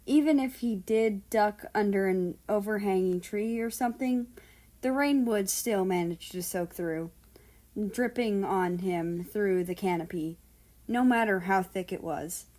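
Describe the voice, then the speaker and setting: A woman reading fiction aloud as an expressive narrator of a story. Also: mid-range voice, a little slow. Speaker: fan fiction reader.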